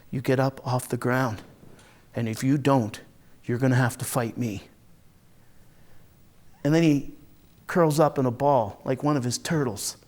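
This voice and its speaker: A man playing a volatile, hard-drinking, foul-mouthed Marine from a rough neighborhood, telling his story in a raw, halting dramatic monologue. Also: a little high, a little mellow, lightly acted. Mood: grim, pained. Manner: raw, profane, halting then bursting into anger. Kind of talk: first-person dramatic monologue, conversational storytelling.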